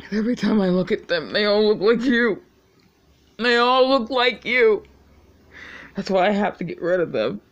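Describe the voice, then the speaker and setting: A man's voice: sits high, moderate pace, pauses often, a bit bright, lo-fi sound. An actor playing a tormented man who hears his mother's voice, cursing and talking to her as if she were in the room. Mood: bitter. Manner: anguished, cursing, talking to someone absent. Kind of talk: dramatic monologue.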